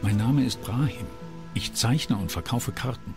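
A man's voice: medium pitch, lively intonation, a little slow, lightly acted. An actor playing a polite but weary town mapmaker in a video game, talking like a matter-of-fact salesman. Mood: businesslike. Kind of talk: video game character dialogue. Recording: music under the voice.

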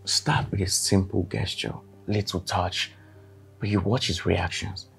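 A calm, deep-voiced therapist. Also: male voice, vocal fry, in a whisper, exaggerated voice. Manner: measured, explanatory. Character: calm male therapist explaining a plan.

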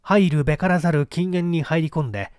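A man narrating mystery fiction as a scripted literary reading, with measured, formal storytelling. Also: on the high side, a little mellow, clean tone, no echo, clean studio sound. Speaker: literary narrator, stage performer.

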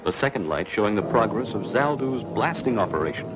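An actor playing the dramatic narrator of a 1950s TV sci-fi serial, formal and measured. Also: male voice, on the low side, animated, close to an everyday voice. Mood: suspenseful. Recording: muffled 1950s soundtrack, faint music.

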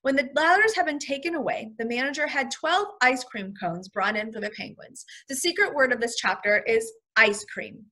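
Female elementary teacher, higher-pitched and bright, expressive storybook read-aloud with simple explanations for young kids. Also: normal speed, few pauses. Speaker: first grade teacher.

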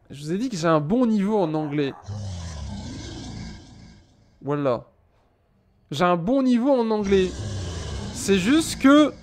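High-voiced French man streaming a game. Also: a little mellow, slight background noise. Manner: joking, teasing chat, mock-indignant. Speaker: gaming streamer. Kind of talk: casual Let's Play commentary. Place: small room at home.

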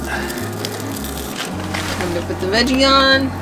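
A woman's voice: sits high, takes it slowly, over loud music, rough recording. A woman in her thirties chatting casually to the camera as she vlogs an ice fishing trip, laughing easily. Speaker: outdoor vlogger. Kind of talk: casual vlog narration. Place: frozen lake outdoors, then inside an ice fishing tent.

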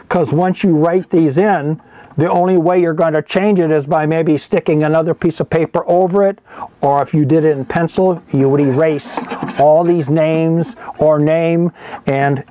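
A man in his seventies giving an informal show-and-tell as an archivist, speaking in a halting, self-correcting, explanatory way. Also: fairly high pitch, warm tone, no room sound. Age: 70s. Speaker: archivist presenting a collection. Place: indoor archive room with packed shelves. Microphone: lapel mic.

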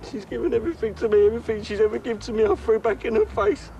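A man's voice: high-pitched, expressive, rapid-fire, gravelly, mellow, slight background noise. An actor playing a volatile young man, swearing and defensive, who breaks down confessing what he did to his mother. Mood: anguished. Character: guilt-ridden young British man, volatile temper. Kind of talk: film drama acting.

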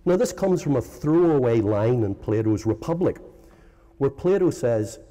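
A man's voice: mid-range voice, animated, moderate pace, dark tone. A middle-aged man with a strong Northern Irish accent, giving a conference lecture at a lectern with many ums and uhs. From Northern Ireland. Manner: hesitant, many ums and uhs, self-correcting. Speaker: philosophy professor giving a lecture. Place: large conference hall. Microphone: lectern microphone. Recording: long hall reverb.

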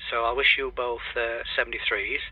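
A man's voice: medium pitch, little pitch movement, moderate pace, slightly rough, no echo. An older man chatting in a hesitant, rambling way as an amateur radio operator. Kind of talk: casual radio chat. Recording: dull lo-fi radio link.

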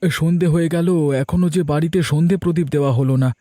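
A man's voice: fairly high pitch, steady tone, quick pace, mellow, no room sound, lightly acted. A man voicing a middle-aged, querulous but homely village uncle and husband in a cartoon story. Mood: grumbling. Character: grumbling, hospitable village uncle, bickering husband. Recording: background music bed.

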